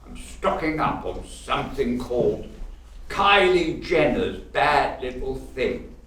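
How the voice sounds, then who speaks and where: A miserly grumpy old uncle for a radio play, swoops around a lot, big roomy echo. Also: male voice, mid-range voice, sing-song, a bit bright. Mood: smug. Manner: grumpy, theatrical, drawn-out delivery. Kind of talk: golden-age radio play acting. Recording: echoey room, distant mic, faint noise.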